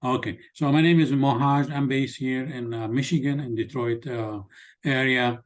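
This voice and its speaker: A man's voice: medium pitch, steady tone, moderate pace. A man who is a sales director, giving a casual self-introduction on a video call. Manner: casual, repeats himself.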